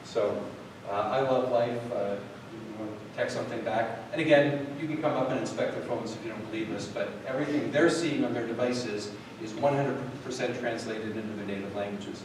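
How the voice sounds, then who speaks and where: A man's voice: mid-range voice, fairly even intonation, fairly quick, few pauses. Guy rambling thru a live tech demo, lots of ums, far off mic, noisy room. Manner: rambling, lots of fillers and restarts. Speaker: product demo presenter. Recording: echoey hall from far off, noisy.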